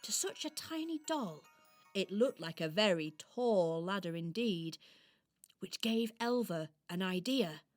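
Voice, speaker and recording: A woman storyteller gently narrating a scripted children's radio play in a descriptive way. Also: medium pitch, lively intonation, measured pace, faint music behind.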